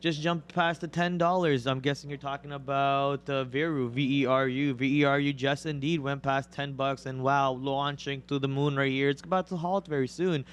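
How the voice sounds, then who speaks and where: A raspy young man hosting a live stream, talking through his trades and calling out prices as they move. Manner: rapid excited play-by-play, gets loud and high when a stock moves. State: raspy voice from a cold. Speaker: day trader and co-host. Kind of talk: live trading commentary.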